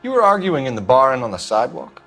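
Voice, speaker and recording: Male, fast and very animated: stern 1940s detective, clipped and accusatory, for a noir crime game. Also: mid-range voice, soft background music. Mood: accusing. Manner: clipped, pressing, formal.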